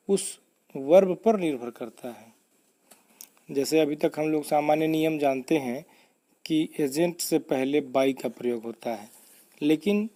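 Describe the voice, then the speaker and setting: Man, grammar tutor, mellow tone, slow pace, deliberate instructive delivery. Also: fairly high pitch. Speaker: English grammar teacher. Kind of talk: scripted lesson explanation.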